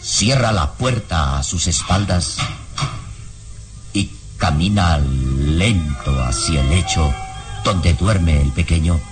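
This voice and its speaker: A man narrating a radio serial in a suspenseful, theatrical and measured scripted style. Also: deep voice, animated. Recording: radio drama under loud music.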